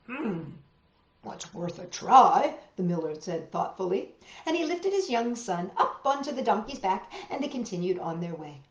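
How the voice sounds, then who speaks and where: A woman's voice: medium pitch, very animated, normal speed. A woman hosting a children's storytime, telling and explaining things simply and expressively to young listeners. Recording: roomy echo, slightly dull sound.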